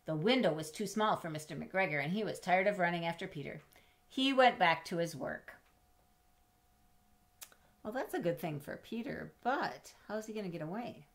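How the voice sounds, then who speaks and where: Middle-aged female storybook reader, slightly low pitch, measured and expressive delivery aimed at small children. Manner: expressive, measured, speaking to young listeners. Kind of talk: children's read-aloud with chatty asides. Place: indoors in a home room with bookshelves.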